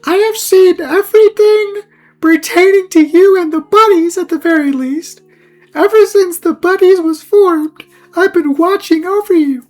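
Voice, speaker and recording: Girl doing a high little spirit mascot for a cartoon, bubbly but hesitant, bit scoldy, music behind. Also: measured pace, darkish tone, rough recording. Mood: knowing, scolding. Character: bubbly, ethereal spirit animal mascot, watchful and gently scolding. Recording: music under the voice.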